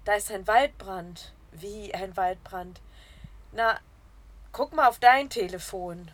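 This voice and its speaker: Slow-paced woman narrating a story. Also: mid-range voice. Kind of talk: scripted second-person audio-story narration with read dialogue.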